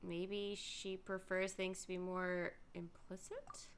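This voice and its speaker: A woman's voice: fairly low pitch, little pitch movement, slow pace, clear, resonant tone. A woman game streamer giving casual live reaction commentary. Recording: faint game music behind.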